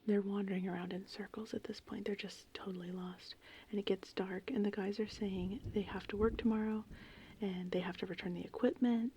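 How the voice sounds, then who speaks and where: A woman speaking in a breathy, hushed whisper as an ASMR host, rambling informally through a film recap. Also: medium pitch, fairly even intonation, brisk, rough recording. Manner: informal, rambling, conversational retelling. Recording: faint background noise, rain and thunder ambience. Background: gentle rain and thunderstorm ambience.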